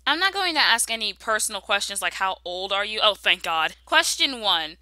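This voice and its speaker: A woman's voice: mid-range voice, very animated, normal speed, bright, forceful tone, dry recording. A woman answering viewer questions in a casual, sarcastic, slangy way, often exclaiming. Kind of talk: casual sassy Q and A commentary.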